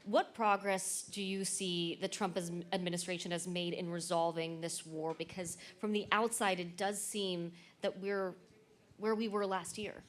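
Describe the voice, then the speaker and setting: A young woman with an American accent, born in Ukraine, hosting and asking measured questions in an on-stage interview. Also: lowish voice, fairly even intonation. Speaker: interviewer, event host.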